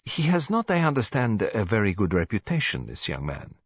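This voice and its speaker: A man's voice: fairly low pitch, huge pitch swings, brisk, breathy edge, highs cut off, full character voice. A man voicing a courteous, tactful detective, speaking formally and delicately in an audiobook.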